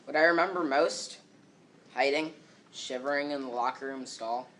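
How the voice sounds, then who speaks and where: A man's voice: medium pitch, moderate pace, a bit bright, low-quality recording. A male student performer reciting a scripted readers theater piece. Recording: faint background noise.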